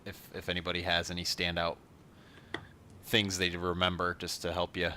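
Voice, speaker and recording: A man's voice: deep voice, completely flat delivery, normal speed, sharp tone. A man co-hosting a podcast in casual, offhand conversation, recorded with some noise. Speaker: podcast co-host. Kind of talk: casual chat.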